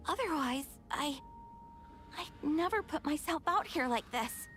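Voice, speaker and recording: A woman's voice: high-pitched, animated, a little slow, fairly bright tone, soft background music. An actress playing an anxious, earnest young woman testifying in a video game courtroom, hesitant and pleading. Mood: pleading, insistent.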